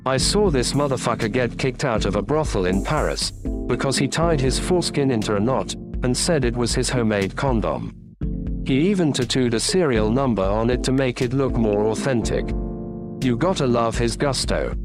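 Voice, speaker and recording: A man doing a crude comedic voice-over narration, delivered deadpan. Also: medium pitch, normal speed, music in the background. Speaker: channel narrator.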